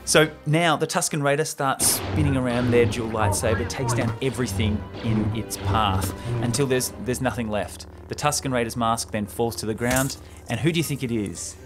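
Young man, podcast host, mid-pitched, casual enthusiastic storytelling, slangy, close mic, music underneath. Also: moderate pace, hardly any pauses. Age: young adult. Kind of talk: casual retelling of a film script. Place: small room indoors. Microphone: close podcast microphone.

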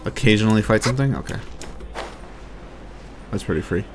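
Low-pitched man casually commentating a game. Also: a little fast, noisy background. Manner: swears freely, muttering to himself. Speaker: let's play streamer. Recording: game music behind the voice. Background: video game music and sounds.

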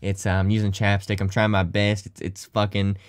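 A man's voice: lowish voice, monotone, moderate pace, smooth, dry recording, clean studio sound. Tired young man rambling to camera. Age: young adult. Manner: rambling, swears a lot, thankful. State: tired, slightly deep morning voice. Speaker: YouTuber and streamer. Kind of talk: casual vlog talk to camera. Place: small bedroom corner.

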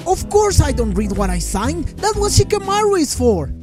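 A woman voicing an excitable, clueless adult male ninja leader, dramatic and exclaiming, in a parody cartoon. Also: high-pitched, normal speed. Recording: music loud behind the voice.

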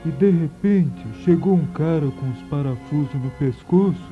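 An actor playing a hungry, laid-back talking stomach in a comic radio play, slangy and nonchalant. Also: male voice, a little high, normal speed, phone-quality sound, faint music behind, lightly acted.

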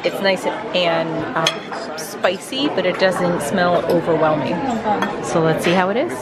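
A woman's voice: fairly low pitch, unhurried. A woman in her forties chatting upbeat to a handheld camera about a family dinner, with fillers. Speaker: vlogger. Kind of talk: casual family vlog. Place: busy restaurant and theme park. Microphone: handheld camera at arm's length. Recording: noisy crowded restaurant. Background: crowd chatter and music.

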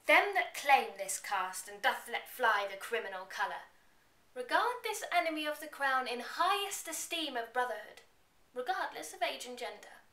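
Woman acting proud pirate girl, declamatory, high bright voice, radio play. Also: very animated, moderate pace, recorded from a distance, full character voice. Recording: roomy, away from camera mic.

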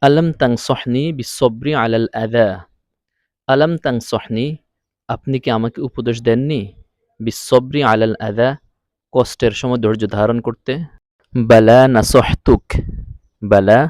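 A man's voice: medium pitch, steady tone, darkish tone, dry recording. Dry-sounding male language teacher. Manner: didactic, repeats phrases deliberately. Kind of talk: scripted lesson, phrase-by-phrase translation. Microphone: close, dry voice-over recording.

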